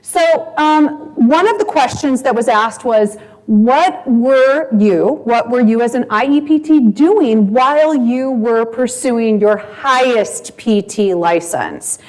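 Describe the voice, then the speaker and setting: A woman presenting survey results at a conference session, measured and thorough, pausing often with ums as she walks through slides. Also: on the high side, lively intonation, darkish tone, low-quality recording.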